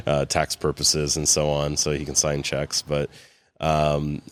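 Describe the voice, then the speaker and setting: A man with a strikingly low, smooth, resonant voice. He talks loosely, full of fillers and restarts, with frequent chuckles. He sounds like a guest in a casual sit-down interview. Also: completely flat delivery, takes it slowly. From California. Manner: rambling, many fillers and restarts, chuckles often. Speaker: guest, cigar company co-founder.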